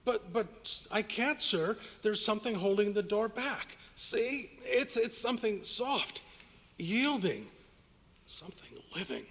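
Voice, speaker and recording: A man acts out a panicky young intern in an old radio play. The voice is very high for a man and husky, stammering and pleading with big swings of pitch in a reverberant hall. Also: animated, slow pace, fairly bright tone, heavily acted. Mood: frightened. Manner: stammering, pleading, breathless urgency. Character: frightened young male intern, deferential and panicky. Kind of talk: old-time radio drama recreation. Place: hotel convention meeting room. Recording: echoey hall, live stage performance.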